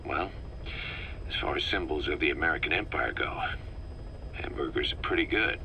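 A wry, businesslike military man from a video game, with an exasperated edge. His voice is low and very forceful, his phrasing casual, over faint background noise. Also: lively intonation, moderate pace, exaggerated voice, poor audio. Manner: casual, wry, hesitant asides. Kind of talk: scripted video game drama.

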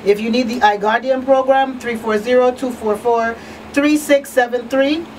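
A woman's voice: on the high side, steady tone, normal speed, a little mellow, distant mic, a little hiss or hum, low-quality recording. A middle-aged woman hosting a radio talk show, addressing listeners directly and emphatically. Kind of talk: public-service talk show wrap-up. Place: small indoor radio studio. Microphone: boom-mounted studio microphone.

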